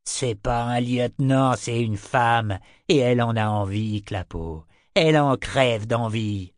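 A man's voice: fairly low pitch, a little slow, no echo, studio quality, lightly acted. A man voicing a sly, leering, lazy sailor in an audiobook, condescending and colloquial in manner. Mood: leering, menacing. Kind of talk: audiobook character voice.

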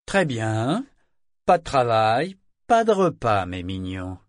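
Man playing a gravelly slave broker, falsely polite, fast, biting, mid-pitched, dry storybook recording. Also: no room sound, lightly acted. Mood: menacing. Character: small agile male slave broker, mock-affable and cruel. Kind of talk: audiobook character reading.

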